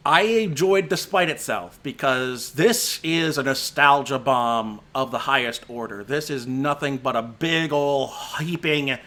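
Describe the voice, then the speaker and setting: A man on a home stream, his voice going hoarse and thin as he talks. The tone stays bright and edged despite the strain. He rambles loosely, stopping and restarting sentences with plenty of "uh" and "you know.". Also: mid-range voice. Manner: rambling, frequent restarts and fillers. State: voice giving out, slightly hoarse. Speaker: movie critic. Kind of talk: casual spoken movie rundown on a stream.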